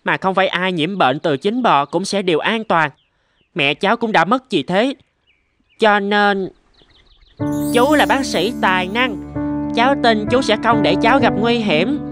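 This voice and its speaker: A woman's voice: mid-range voice, a little slow, lightly acted. A woman voicing a brave, earnest and trusting eight-year-old village boy in a cartoon. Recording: faint background music.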